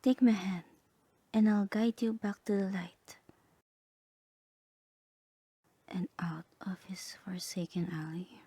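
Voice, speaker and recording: Breathy, slow guardian angel, played by a woman. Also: medium pitch, mellow, no room sound. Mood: tender, coaxing. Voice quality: breathy, half-whispered. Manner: slow, solemn, tender. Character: gentle, earnest guardian angel coaxing a human soul. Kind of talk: ASMR roleplay voice acting.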